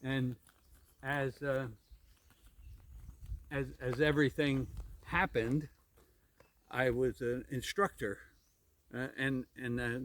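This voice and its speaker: A man in his seventies from New Jersey telling his life story in a casual interview, halting and repeating words with little chuckles. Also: medium pitch, level tone, a bit bright. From New Jersey, USA. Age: 70s. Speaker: interviewee, retired pilot.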